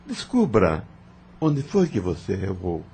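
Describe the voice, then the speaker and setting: A man playing a calm, patient spirit mentor in an audio novel, speaking in a solemn, formal, instructive manner. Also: low voice, takes it slowly, creaky, a little hiss or hum, full character voice.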